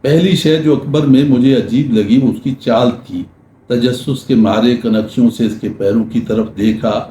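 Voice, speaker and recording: An older man giving a literary talk in measured, formal diction with deliberate pauses, reading prose and reciting verse. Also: medium pitch, fairly even intonation, dark tone. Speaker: lecturer. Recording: roomy echo with faint background noise.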